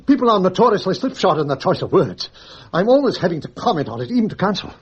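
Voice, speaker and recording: A fretful, pedantic judge in a radio play. His Received Pronunciation voice sits fairly high and swings in lively, exclamatory turns, in a slightly muffled recording. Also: quick pace, breathy edge, full character voice. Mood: fretful brooding. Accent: English Received Pronunciation. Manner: fussy, exclamatory, brooding. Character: fretful, pedantic male judge, anxious and pompous. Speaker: actor in a radio drama. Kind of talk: BBC radio drama acting.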